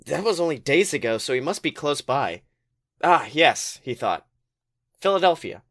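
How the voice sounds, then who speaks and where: A man with a slightly high voice narrates a story aloud for a podcast. His delivery is colloquial and animated, like a host sharing fan fiction. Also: normal speed.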